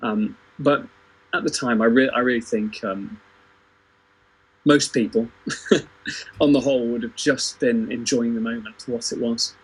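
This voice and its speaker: A man's voice: medium pitch, steady tone, measured pace, long pauses, husky, rough recording. A man in a casual, unscripted panel discussion, speaking in a hesitant, self-correcting, conversational way. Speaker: theatre company performer on a livestream panel.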